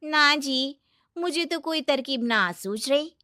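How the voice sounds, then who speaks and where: A woman's voice: high-pitched, slow, bright, forceful tone, dry recording, clean studio sound, pitched above the performer's own voice, exaggerated voice. A woman voicing a moneylender's fawning, greedy village wife in a cartoon moral story, drawling and exclamatory.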